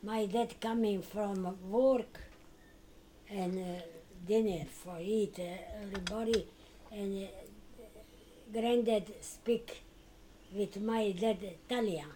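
An older woman from Croatia with a Croatian accent, talking in halting, broken English in casual conversation. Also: mid-range voice, steady tone, warm tone, slight background noise, poor audio. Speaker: interviewee.

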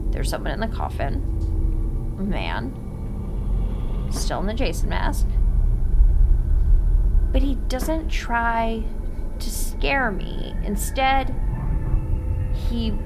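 An actress playing a nervous young American woman confiding something intimate in a halting, self-conscious, naturalistic audio drama monologue. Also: mid-range voice, noisy background, natural voice. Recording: music under the voice. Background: music.

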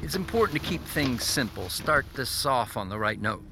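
An actor playing a sinister, falsely friendly abductor in an audio drama, coaxing with calm, chummy menace. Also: male voice, medium pitch, normal speed, music in the background. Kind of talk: audio fiction acting.

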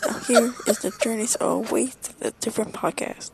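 A woman rambling through a silly, repetitive homemade podcast bumper, with odd pauses between her words. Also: on the high side, gravelly, noisy background. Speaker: listener who sent in a podcast bumper.

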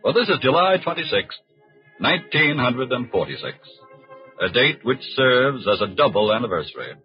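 A man's voice: mid-range voice, big pitch swings, normal speed. A man with an American accent giving scripted 1940s radio narration as announcer, formal, solemn and emphatic. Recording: muffled vintage 1940s radio recording. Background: music behind the voice.

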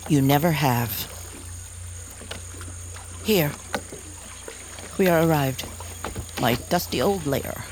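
Slow, solemn old elder. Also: male voice, fairly high pitch, background noise. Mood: fond, solemn. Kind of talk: audio drama acting.

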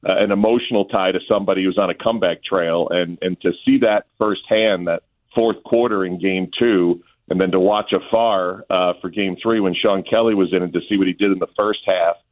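Deep-voiced man on a phone-like line. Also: steady tone, fairly quick. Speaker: guest sports play-by-play announcer. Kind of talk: radio sports interview, conversational analysis.

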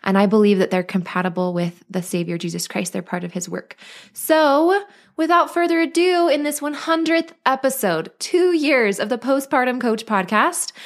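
A woman in her thirties, a mother and life coach, hosting her own podcast in an earnest, bubbly, chatty way. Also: a little high. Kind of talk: casual solo podcast monologue.

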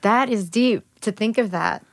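A woman's voice: on the high side, unhurried, dry recording. A woman interviewing a musician, casual and agreeable, with short affirmations.

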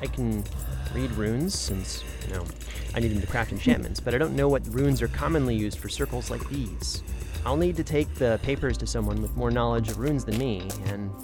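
An actor playing a hesitant, drily teasing scholarly mentor in a naturalistic audio drama, with halting speech. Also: medium pitch, music in the background, natural voice. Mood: hesitant, dry.

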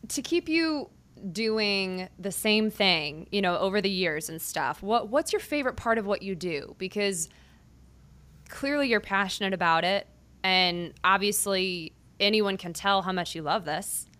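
A woman sports reporter hosts a podcast interview in a casual, chatty way. Her pitch sits in a middle range, and she leans on "like" and stops to rephrase as she talks. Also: lively intonation, unhurried, a bit bright. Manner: chatty, many "like" fillers and restarts.

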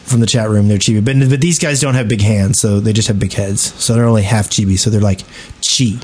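Guy on a gaming podcast, kinda halting, working out ideas as he talks. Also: fairly low pitch, steady tone, a little mellow. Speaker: podcast co-host. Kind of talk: casual gaming podcast chat.

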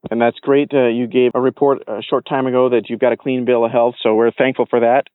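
A man's voice: medium pitch, flat delivery, brisk, no echo, narrow, tinny audio. A man hosting an interview, politely putting prepared questions to his guest. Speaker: interviewer, show host.

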